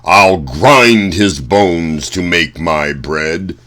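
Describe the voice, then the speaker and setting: A man voicing a huge, scary, man-eating giant in a storybook, with a roaring, booming chant. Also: fairly bright tone, exaggerated voice. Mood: menacing, roaring. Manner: slow, drawn-out menacing chant. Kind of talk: storybook read-aloud.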